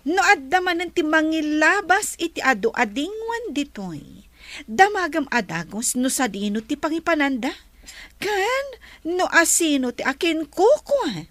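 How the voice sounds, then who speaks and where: A woman's voice: high voice, sing-song, a bit bright, no room sound, heavily acted. A woman in a radio drama playing a commanding wealthy madam who gives orders to her staff. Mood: stern. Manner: commanding, slow and deliberate. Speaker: wealthy lady of the house.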